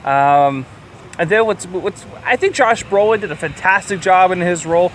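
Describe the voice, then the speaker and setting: Sweary man, slightly high voice. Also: normal speed, slight background noise. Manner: opinionated, sweary, rambling. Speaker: podcast co-host reviewing films. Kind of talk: casual movie review chat.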